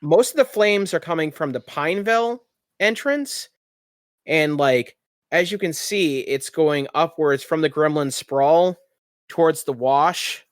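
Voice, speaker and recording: A man with a slightly high voice runs a tabletop roleplaying game as game master. He narrates the scene in a casual, conversational way, and the recording is dry and close. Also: measured pace, smooth, no echo.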